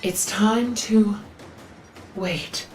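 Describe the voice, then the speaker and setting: An actress playing a devout, earnest female zealot guarding a sacred forest in a video game, reverent, solemn and measured. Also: mid-range voice, music in the background. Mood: awed, eager.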